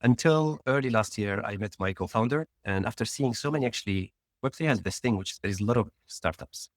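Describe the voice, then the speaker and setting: A man from Tunisia talks fast and a little low, piling up his story with quick restarts. He is a founder guest on a casual interview show. The sound is dry and close, with no room around it. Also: no echo.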